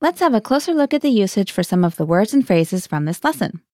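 Woman hosting a lesson with lively pitch. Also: quick pace, no room sound, studio quality. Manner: guides and explains, translating each phrase. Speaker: host of a language lesson. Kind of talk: scripted language lesson.

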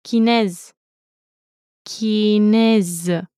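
A woman teaching a language, saying each word slowly and clearly twice in a scripted lesson. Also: mid-range voice, steady tone, dark tone, no echo, studio quality. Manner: slow careful pronunciation with repeats. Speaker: native-speaker language teacher.

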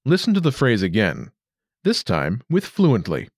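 A male narrator walks a listener through a language lesson in a clear, measured teacher's delivery. His pitch swings widely and expressively from phrase to phrase. The recording is dry and close, with no room sound. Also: huge pitch swings, no room sound. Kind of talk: scripted instructional narration.

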